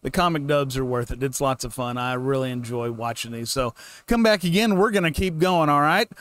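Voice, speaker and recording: A man's voice: mid-range voice, fairly quick, fairly bright tone. A middle-aged man hosting a reaction channel, giving casual commentary into a close desk microphone.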